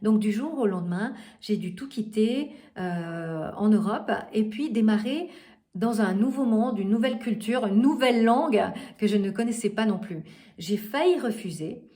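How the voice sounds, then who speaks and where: A middle-aged woman coaching her listeners in a motivational talk. She speaks earnestly but haltingly, pausing often on fillers and restarting her sentences, at an ordinary middle pitch. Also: expressive, dark tone. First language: not French. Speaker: life coach.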